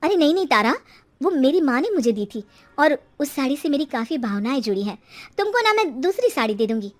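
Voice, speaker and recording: A woman's voice: high voice, big pitch swings, a little fast. A woman voicing a meek, weary middle-aged housewife and mother in a moral story, hesitant and submissive. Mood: gentle pleading.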